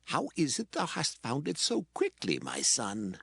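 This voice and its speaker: A man's voice: on the high side, huge pitch swings, a little slow, vocal fry, bright, forceful tone, slightly rough, no room sound, clean studio sound, exaggerated voice. An actor playing an aged, solemn patriarch father in a dramatized scripture reading, speaking with emotion. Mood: searching, uncertain. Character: aged patriarch father, solemn, trembling, blessing his son.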